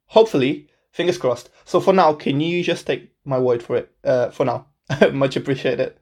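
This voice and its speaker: A man's voice: medium pitch, moderate pace, a little mellow. A man in his twenties from Portugal, a native Portuguese speaker, hosting his own podcast in a casual, upbeat, self-deprecating way. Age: 20s. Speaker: podcast host. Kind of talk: casual solo podcast introduction.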